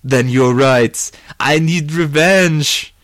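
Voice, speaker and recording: A man voicing an anguished, vengeful man who turns coldly unhinged, in halting dramatic outbursts for an audiobook. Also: medium pitch, fairly bright tone, dry recording, studio quality. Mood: despairing.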